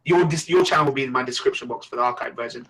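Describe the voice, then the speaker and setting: Male podcast host, mid-pitched, easygoing and encouraging. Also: racing, a bit bright. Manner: encouraging, asks each guest in turn. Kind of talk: casual podcast chat.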